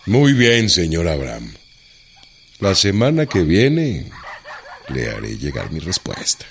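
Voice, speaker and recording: A man playing a proud, wary Mexican bandit leader in a radio drama, with a gruff, commanding, sardonic way of talking. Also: low voice, wildly swooping pitch, slow, a little hiss or hum, exaggerated voice. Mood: grave.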